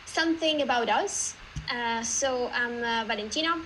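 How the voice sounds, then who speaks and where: Bright-voiced Italian woman presenting. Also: fairly high pitch, moderate pace, slight background noise, rough recording. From Italy. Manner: lively, chatty, frequent "uh" fillers. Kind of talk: online meetup presentation.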